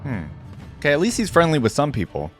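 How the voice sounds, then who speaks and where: A man's voice: a little high, huge pitch swings, fast talker, faint music behind. A man hosting a reaction video, giving casual commentary, rambling as he thinks aloud and sometimes reading text out.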